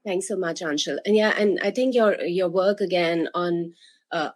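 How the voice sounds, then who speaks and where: A woman's voice: fairly low pitch, normal speed. A woman moderating a panel discussion, friendly and encouraging toward her guests, with many fillers.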